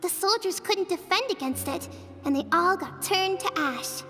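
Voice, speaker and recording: A woman's voice: extremely high pitch, lively intonation, moderate pace, in a large hall, over music. A woman voicing a young village girl in a video game, an eager storyteller with a childlike, earnest manner. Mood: earnest narrating.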